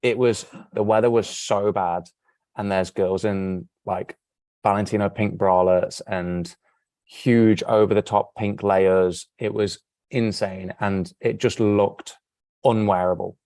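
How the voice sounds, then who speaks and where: English guy, interview guest, blunt and slangy, low voice, dry sound. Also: fairly even intonation, takes it slowly, a little mellow, no room sound. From England. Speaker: model and fashion guest. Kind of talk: casual interview chat.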